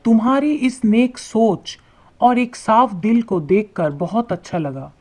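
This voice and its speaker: A woman voicing a kindly, curious rich merchant man in an animated moral tale, earnest and deliberate. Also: fairly high pitch. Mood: moved, kind.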